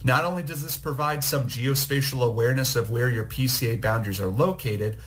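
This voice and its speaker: A man reading a scripted, recorded technical presentation in a measured, explanatory way. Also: medium pitch. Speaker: program manager presenting.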